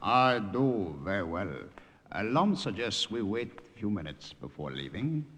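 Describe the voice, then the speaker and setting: An actor playing a timid, deferential Siamese driver with a Thai accent and broken English in a 1950s radio drama. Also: male voice, lowish voice, very animated, a little slow. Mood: hushed, cautious. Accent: put-on Thai. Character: timid, pleading, childlike Siamese driver in the underground. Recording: old radio recording, loud music.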